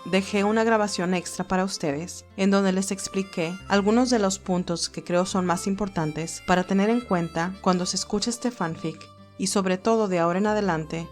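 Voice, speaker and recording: A woman narrating a fan fiction audio story and reading scripted notes to listeners as its host. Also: medium pitch.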